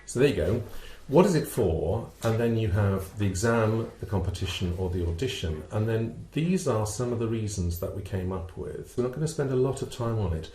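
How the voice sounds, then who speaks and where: A middle-aged man presenting a webinar as a vocal coach, explaining step by step in a measured way, posing questions and answering them. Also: on the low side, expressive, dark tone, a little hiss or hum. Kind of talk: explanatory teaching talk with a co-presenter. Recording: roomy, reverberant sound.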